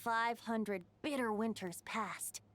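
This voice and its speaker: A woman's voice: a little high, slow, fairly bright tone, slight background noise. A woman voicing a youthful, whimsical boy bard in a video game, telling things in a lyrical, theatrical storyteller's manner. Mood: lyrical, solemn. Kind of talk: video game dialogue and narration.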